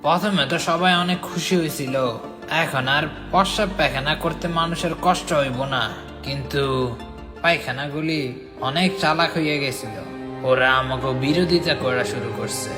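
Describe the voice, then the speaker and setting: A man's voice: fairly high pitch, moderate pace, natural voice. A man voicing a grumbling, scolding old man who recounts the past in a comic cartoon. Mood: recounting. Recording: background music under the voice.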